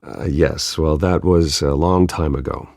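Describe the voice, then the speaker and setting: An actor playing a guarded, brooding wealthy heir in a video game, terse and dry, with a gravelly, husky voice. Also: male voice, a bass voice, unhurried, a little mellow, no echo, heavily acted. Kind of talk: video game dramatic acting.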